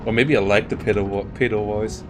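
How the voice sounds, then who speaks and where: A man's voice: fairly low pitch, fairly even intonation, a little fast, background noise. A man commentating a live strategy game tournament, talking in loose, self-interrupting bursts. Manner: rambling, self-interrupting, frequent restarts. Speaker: esports caster.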